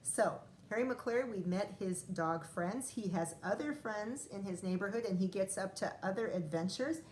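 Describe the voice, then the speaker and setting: A woman's voice: medium pitch, normal speed, darkish tone, a little hiss or hum. A woman first-grade teacher reading a picture book aloud to young children, expressively drawing out lines and adding chatty asides.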